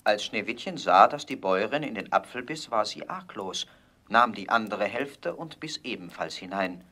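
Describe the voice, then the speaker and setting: A man narrating a fairy tale in a scripted audio play with measured storytelling. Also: on the low side, expressive, sharp tone.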